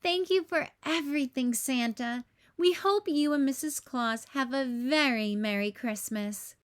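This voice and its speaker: A woman's voice: sits high, a little slow. A woman voicing a cheerful, friendly male dinosaur in a children's storybook, encouraging and exclamatory.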